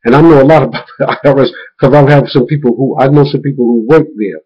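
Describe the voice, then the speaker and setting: Male vlogger, mid-pitched voice, informal and emphatic storytelling with repeated words for stress, muffled lo-fi sound. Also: fast talker, a little mellow. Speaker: video blogger warning viewers about a phone scam. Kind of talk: informal spoken warning with retold phone conversations.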